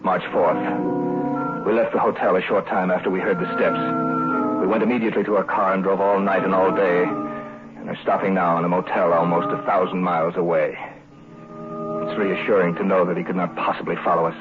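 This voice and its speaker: Haunted American husband, radio horror male actor. Very low, grave, measured; dull vintage recording. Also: level tone, natural voice. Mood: grave, weary. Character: frightened husband recording his dread in a diary. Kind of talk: 1940s-50s American radio horror acting. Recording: muffled old radio recording, music behind.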